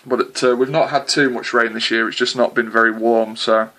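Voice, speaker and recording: A man's voice: mid-range voice, flat delivery, a little fast, sharp tone. A man chatting hesitantly with a distant contact as a CB radio operator, with lots of filler sounds. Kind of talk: casual radio contact chat.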